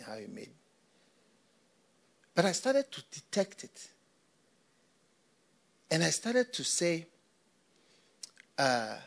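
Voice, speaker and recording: A man's voice: medium pitch, creaky. An older man from Ghana, a pastor, preaching a sermon with repeated phrases and rhetorical questions.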